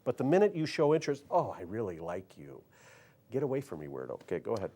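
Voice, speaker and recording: A man's voice: lowish voice, wildly swooping pitch, fast, warm tone. A man hosting a casual talk show, rambling and cutting himself off mid-sentence. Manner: rambling, self-interrupting.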